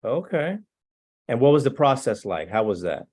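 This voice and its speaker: A man's voice: mid-range voice, big pitch swings, moderate pace, darkish tone. A man hosting a conversational interview, asking questions in an encouraging way. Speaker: interviewer, host.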